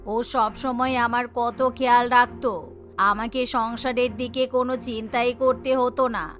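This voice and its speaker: Woman acting worried mother, low and soft. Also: little pitch movement, normal speed, natural voice. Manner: fretful, scolding softly. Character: gentle, worried mother of twin young women. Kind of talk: Bengali cartoon voice acting. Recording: music behind the voice.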